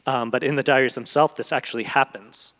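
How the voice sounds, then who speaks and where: A man's voice: medium pitch, fairly even intonation, fairly quick, fairly bright tone. A middle-aged man, a guest translator, speaks in a thoughtful, hesitant way in long winding sentences in an unscripted on-stage conversation. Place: large event hall stage. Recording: echoey hall with long reverb.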